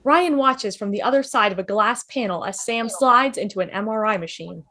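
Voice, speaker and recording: A woman's voice: mid-range voice, normal speed, bright, forceful tone. A woman reading stage directions as scripted narration in a fan table read.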